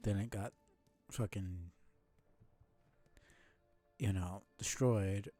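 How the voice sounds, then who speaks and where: A man's voice: lowish voice, steady tone, drawn-out words, mellow, dry recording, faint music behind. A man streaming a game live, thinking aloud casually, often correcting himself and swearing mildly. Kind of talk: casual live gameplay commentary.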